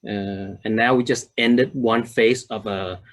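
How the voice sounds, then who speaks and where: A man in his thirties from Denmark presents to an online audience, with a somewhat low voice. He often stops with fillers, repeats words and starts phrases over. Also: steady tone, a little slow. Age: 30s. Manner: hesitant, many fillers and restarts. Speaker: conference speaker.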